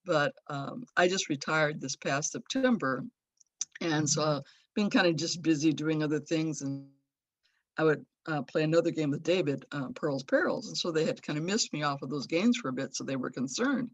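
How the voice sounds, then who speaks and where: A woman's voice: sits low, normal speed, dry recording, lo-fi sound. A woman in her sixties from Los Angeles, sharing fond, rambling memories of an old friend over a video call. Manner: warm, rambling, with fond laughs. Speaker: memorial speaker. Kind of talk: personal remembrance. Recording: dry video call audio.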